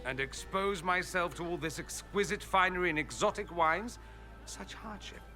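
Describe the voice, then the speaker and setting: An actor playing a witty, vain aristocratic mage in a fantasy game, with a wry, sardonic flourish. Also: male voice, high-pitched, normal speed, pushed and bright, soft background music. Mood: teasing. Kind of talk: fantasy video game dialogue acting.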